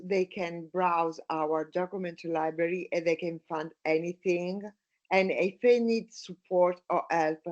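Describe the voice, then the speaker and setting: A woman from Italy, a native Italian speaker with an Italian accent, speaking English as a guest in a casual interview, halting, with many fillers and restarts. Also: on the low side.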